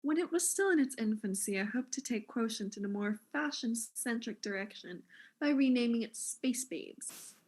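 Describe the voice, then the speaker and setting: Casting: woman as vain fashion mogul for comic audio mystery, higher-pitched, theatrical, condescending, flippant. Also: expressive, moderate pace. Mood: indignant, boastful.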